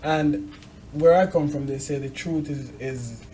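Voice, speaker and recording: A man hosting a conversational discussion, probing and measured, building his points in short phrases. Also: on the high side, warm tone, slight background noise, low-quality recording. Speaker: interviewer, host.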